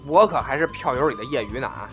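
A man playing a cheeky young amateur comic performer in an audio drama, with a quick, needling way of talking back. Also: mid-range voice, expressive, fairly bright tone, faint music behind, lightly acted. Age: young adult. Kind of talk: audio drama acting with crosstalk patter.

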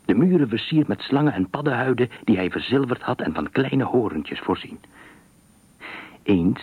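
A man with a low, husky voice reads a literary thriller story for radio. His delivery is measured and expressive, like a practised storyteller. The sound is dry and close. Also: no room sound. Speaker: narrator.

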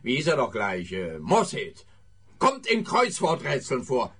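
A pompous, bumbling police officer in a comic radio drama. The voice is rough and husky at a middle pitch. He talks fussily, pleased with himself and none too bright. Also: wildly swooping pitch, measured pace, heavily acted. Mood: earnest, puzzled. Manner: fussy, pompous. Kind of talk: comic radio play acting.

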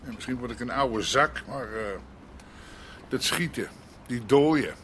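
Old-school Amsterdam gangster boss, forceful bright voice, halting blunt speech, stubborn, radio drama, street noise behind. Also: male voice, medium pitch. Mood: wary, troubled. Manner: blunt, halting, repeating words. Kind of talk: naturalistic radio drama dialogue.

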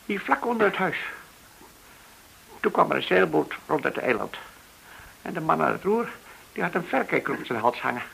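Tense, stammering cornered banker. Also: male voice, sits high, creaky, sharp tone, heavily acted, poor audio. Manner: halting, anxious, stammering. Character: frightened, cornered male banker pleading innocence. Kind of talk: radio drama acting. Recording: hissy old radio play recording.

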